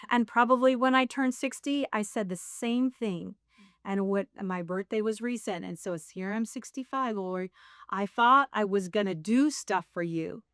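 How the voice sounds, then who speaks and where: A woman's voice: mid-range voice, measured pace, a bit bright, clean tone, dry recording, clean studio sound. A woman in her sixties sharing her faith story in a casual conversation, rambling and laughing at herself. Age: 60s. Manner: rambling, self-deprecating, laughs often. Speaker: guest.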